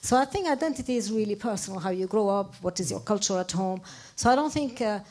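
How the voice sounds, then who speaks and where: A woman's voice: medium pitch, lively intonation, quick pace, a little mellow. A woman from Lebanon, giving an opinionated, self-correcting comment at a public discussion in phrasing. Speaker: audience member, architect. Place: arts centre event room.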